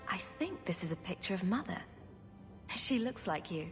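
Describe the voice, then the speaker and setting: An actress playing a direct, protective young heroine and elder sister in a fantasy video game. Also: female voice, medium pitch, sing-song, moderate pace, natural voice. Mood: supportive. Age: young adult. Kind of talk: dramatic video game voice acting. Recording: faint music behind.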